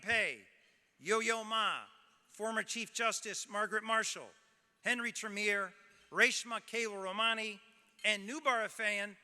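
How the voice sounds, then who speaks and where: Bright, high-voiced man giving a gala speech. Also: clean tone. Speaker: nonprofit president and CEO. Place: large ballroom. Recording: hall reverb, faint crowd.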